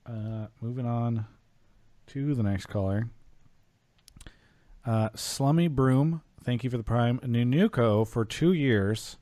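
A man's voice: medium pitch, warm tone, no room sound. A man hosting an esports call-in show in a casual, chatty style.

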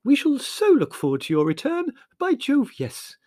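A man's voice: very high voice, wildly swooping pitch, normal speed, darkish tone, no room sound, clean studio sound, pitched above the performer's own voice, heavily acted. A man voicing a gushing, old-fashioned older Englishman with a fluting voice. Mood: effusive. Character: pompous, effusive older Englishman.

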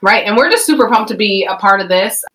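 A woman's voice: mid-range voice, lively intonation, fast, fairly bright tone, slight background noise. A woman chatting on a podcast as a guest, upbeat and loose with lots of fillers. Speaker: guest, ministry co-founder. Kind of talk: casual podcast conversation.

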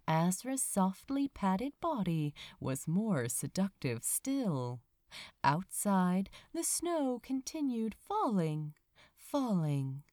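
Woman reading a fantasy story aloud, slow n tender, voice swings up and down a lot, real dry close sound. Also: medium pitch, darkish tone, dry recording. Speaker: amateur audiobook narrator. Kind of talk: expressive scripted fiction narration, storybook reading.

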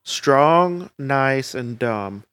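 A man's voice: medium pitch, lively intonation, very slow, a bit bright, clean tone, no room sound. A man chatting casually as co-host, rambling and hesitant, full of fillers. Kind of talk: casual chat.

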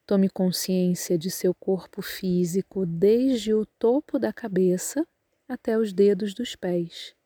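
A Brazilian woman leads a guided relaxation with calm, measured instructions. Her voice is soft-edged and mellow, and she speaks very slowly. The sound is close and dry, with little room around it. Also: medium pitch, husky, no echo. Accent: Brazilian Portuguese. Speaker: yoga nidra guide.

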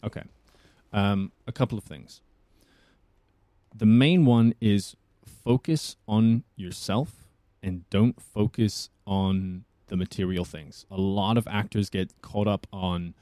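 A man from South Africa, an actor chatting casually on a podcast with a husky, soothing voice and a thoughtful manner. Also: lowish voice, a little slow, dark tone, no room sound.

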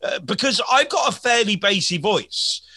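A man's voice: high voice, big pitch swings, moderate pace, pushed and bright, breathy edge, no room sound, clean studio sound. A man in his forties, a comedian hosting a podcast, chatting in a rambling, self-deprecating way with frequent restarts. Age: 40s. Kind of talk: casual podcast chat.

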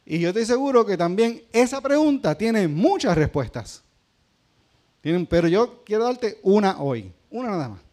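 A middle-aged Puerto Rican pastor preaches in a high, light voice. He keeps it conversational and keeps putting questions to his congregation. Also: sing-song, normal speed, a little mellow. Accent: Puerto Rican Spanish. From Puerto Rico. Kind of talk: sermon.

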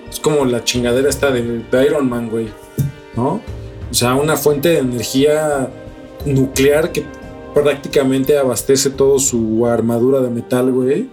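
Man, Mexican accent, middle-pitched voice, casual debate, slangy and profane, opinionated co-host, music behind. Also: darkish tone. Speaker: podcast co-host.

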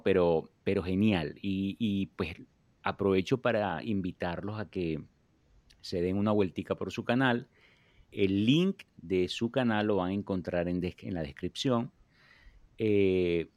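A male interview host with a low voice, talking in a relaxed, halting way full of fillers and restarts. The sound is dry and close, with little room around it. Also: no echo. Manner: hesitant, many fillers and restarts. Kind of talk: casual interview.

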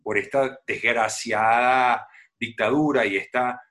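Un hombre venezolano con acento venezolano, actor invitado en una charla de podcast, que explica con detalle y de forma animada. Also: male voice, medium pitch, steady tone, takes it slowly, fairly bright tone. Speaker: actor guest. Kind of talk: casual podcast interview.

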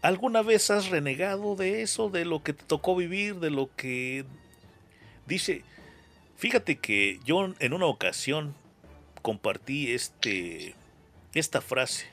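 A man's voice: on the high side, very animated, takes it slowly, a bit bright, faint music behind. A Mexican man hosting a casual interview, talking in a slangy, rambling way and often repeating his phrases. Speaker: interview show host.

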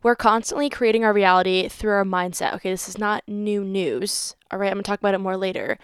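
A woman's voice: medium pitch, fairly even intonation, quick pace, clean tone, no room sound. A young woman hosting her own podcast in casual chat, playful and chatty, swearing freely. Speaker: podcast host.

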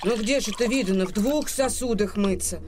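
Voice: mid-range voice, a little fast, background noise, lightly acted. An actor playing a sullen, skinny servant boy who grumbles stubbornly in rustic, pious speech in a radio drama. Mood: grumbling. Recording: music behind the voice.

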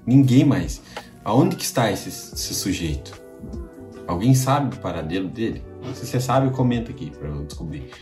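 A man's voice: low voice, expressive, normal speed. A man with a Brazilian accent hosting a podcast, giving casual, slangy reaction commentary full of swearing. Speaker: podcast host. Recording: echoey room with music behind.

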